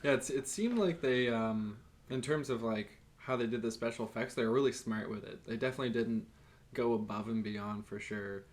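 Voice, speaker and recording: A man's voice: medium pitch, normal speed. A man co-hosting a casual film discussion in loose, hesitant phrases padded with filler words. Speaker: podcast co-host.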